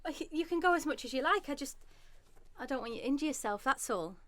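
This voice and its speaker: A woman's voice: high-pitched, expressive, fast, fairly bright tone, no echo. A woman playing an anxious, loving young wife and mother in a radio drama. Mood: concerned, gentle. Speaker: actress and narrator in a radio drama. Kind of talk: radio drama acting, dramatic first-person narration.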